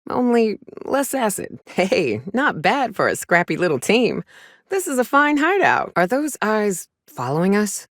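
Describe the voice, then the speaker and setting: An actress voicing a wry, plain-spoken frontier huntress with a folksy, sardonic manner in a video game. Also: female voice, mid-range voice, very animated, measured pace, no room sound, clean studio sound. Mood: uneasy, wry. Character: gruff, wry frontier woman, monster hunter and loyal companion. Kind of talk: video game companion dialogue.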